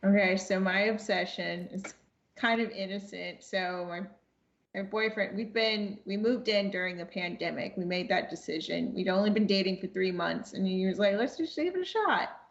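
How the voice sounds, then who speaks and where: A woman's voice: medium pitch, moderate pace, gravelly, muffled recording. A woman chatting casually and personally as a guest on a podcast.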